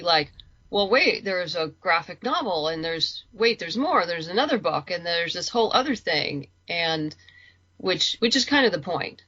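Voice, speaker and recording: Woman, lowish pitch, forward bright tone, chatty interview guest, informal, muffled lo-fi recording. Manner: chatty, informal, thinks aloud. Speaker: interview guest, writer and creator. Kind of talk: casual conversational interview.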